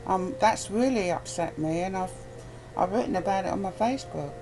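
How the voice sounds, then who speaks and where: A woman's voice: medium pitch, fairly quick, music in the background, low-quality recording. A woman guest in casual, opinionated chat, speaking bluntly and emphatically and repeating phrases to press her point. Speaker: interview guest. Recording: clear background noise, ambient sound.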